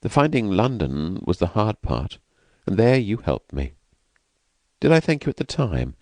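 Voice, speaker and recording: A man voicing a frightened, fragile young woman who has escaped from an asylum, speaking softly and hesitantly. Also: lowish voice, very animated, measured pace, no echo, pitched above the performer's own voice. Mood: fearful, wistful. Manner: hesitant, vacant, soft-spoken.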